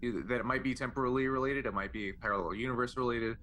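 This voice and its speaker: A man playing a tabletop game, chatting casually and reasoning out his moves aloud with hesitant pauses. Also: mid-range voice, fairly even intonation, sharp tone, a little hiss or hum.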